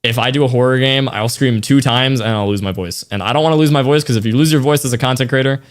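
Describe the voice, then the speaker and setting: A young male streamer, hoarse and tired after hours of yelling, talks to his chat in a loose, slangy way at a desk mic. His voice is mid-pitched and a little raspy, and he drifts through half-finished thoughts. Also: quick pace. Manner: loose, thanks donors, slang-heavy, trails off. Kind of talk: casual livestream chat to viewers.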